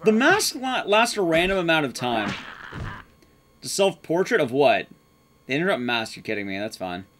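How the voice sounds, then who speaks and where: Animated, higher-voiced man streaming a game. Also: normal speed. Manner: sweary, playful, reacting to play. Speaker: speedrunner streamer. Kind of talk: casual live game commentary. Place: indoor room at home. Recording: clear background noise, clicks.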